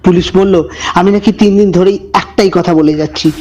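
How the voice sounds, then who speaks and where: A man narrating a scripted horror story as host, with deliberate dramatic phrasing and suspenseful pauses. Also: high-pitched, fairly even intonation, a little mellow, soft background music.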